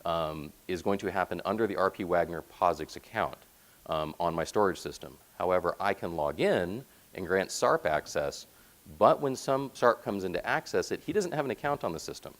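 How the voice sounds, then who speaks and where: A man with a deep, resonant voice presenting technical slides at a conference, explaining things in a plain, informal way. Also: expressive, normal speed. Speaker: conference presenter, software platform team member. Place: presentation room, speaking to slides.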